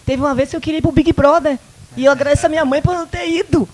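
A woman in her fifties from the Bahia countryside with a Bahian Brazilian accent, a guest in a small local studio interview, telling lively personal stories into a handheld mic and acting out the dialogue. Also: sits high, rapid-fire. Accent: Bahian Brazilian Portuguese. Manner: animated, acts out quoted dialogue, frequent "né?". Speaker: guest, event producer. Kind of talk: casual interview storytelling.

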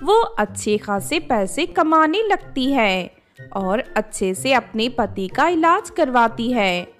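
Slow-paced woman storyteller over music. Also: a little high, big pitch swings, fairly bright tone. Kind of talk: scripted fairy-tale narration for a children's cartoon. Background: background music.

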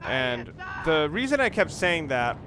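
A man's voice: on the high side, very animated, unhurried, over music. A man giving casual, rambling commentary on a video game, often repeating and rephrasing himself. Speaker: Let's Play commentator. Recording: noisy, game sounds behind the voice. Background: video game ambience.

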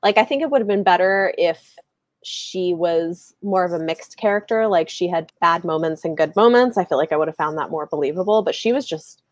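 A woman's voice: lowish voice, a little fast, no room sound, studio quality. A woman in her thirties chatting casually about books on a video call, hedging as she goes and often saying "like". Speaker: book channel host. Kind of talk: casual book discussion on a live video call. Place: small indoor room with bookshelves. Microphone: earbuds on a video call.

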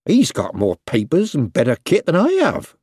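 A blunt British army private, acted by a male narrator in a storybook reading. He is working-class, anxious and grumbly, with lively swings in pitch and a dry sound. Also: moderate pace, breathy edge, no room sound, exaggerated voice. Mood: wry. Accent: British English. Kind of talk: storybook character voice.

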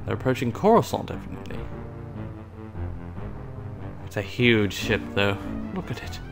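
A man's voice: lowish voice, very animated, moderate pace, vocal fry, over music. A man hosting a gaming video in a put-on British accent, giving hammy, playful commentary as a loyal Imperial pilot. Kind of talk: playful in-character game commentary.

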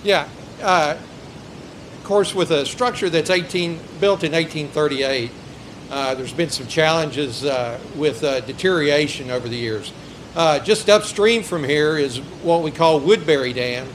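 A middle-aged man speaks outdoors at a stand microphone, reading from notes and stumbling into fillers between phrases. His voice is a touch high for a man. Faint outdoor noise sits behind him. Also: measured pace, low-quality recording. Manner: reads prepared remarks with hesitant fillers. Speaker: local official. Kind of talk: introduction speech and technical explanation. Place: outdoors by a river.

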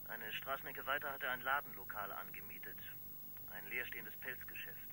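A very sharp, bright yet fairly low voice of a formal, aggrieved pharmacist. The delivery is deliberate radio-play acting, with faint noise. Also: male voice, rough recording. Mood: indignant. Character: indignant, formal male pharmacist with a doctorate. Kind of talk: German radio crime drama acting.

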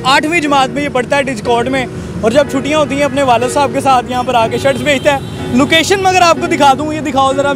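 A young man, presenting a street market to camera in an energetic, repetitive salesman's way. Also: very high voice. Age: 20s. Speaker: street vlogger and host. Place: outdoor roadside market. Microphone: handheld recorder held at chest. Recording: noisy busy street with traffic and crowd. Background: crowd and passing vehicles.

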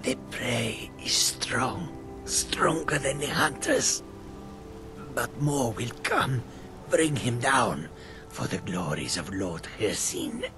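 An actor playing a grim, devout dying hunter in a fantasy video game, breathy and half-whispered. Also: male voice, medium pitch, sing-song, slow pace, over music. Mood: weary, ominous.

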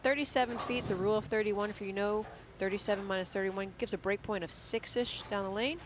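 A woman at mid pitch gives instructive color commentary, walking through each bowler's technique. She talks steadily and methodically. Loud noise fills the background. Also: fairly even intonation, a little fast. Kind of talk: analytical sports commentary. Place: commentary booth at a bowling arena.

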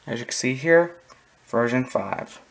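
A person giving a casual, halting, matter-of-fact show-and-tell narration, recorded on a handheld camera in a room at home. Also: mid-range voice, lively intonation, gravelly, very noisy. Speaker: video creator showing a radio collection. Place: small room at home, indoors. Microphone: handheld camera close by.

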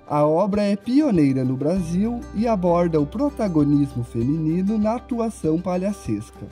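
A man's voice: high-pitched, big pitch swings, normal speed, mellow, soft background music. A young man delivering a scripted television news report. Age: young adult.

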